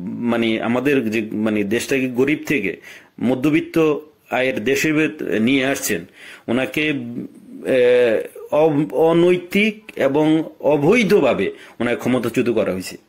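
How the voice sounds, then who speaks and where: A man's voice: medium pitch, animated. Deferential Bangladeshi man on a phone call. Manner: deferential, repetitive, halting. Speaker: political party organiser. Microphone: telephone.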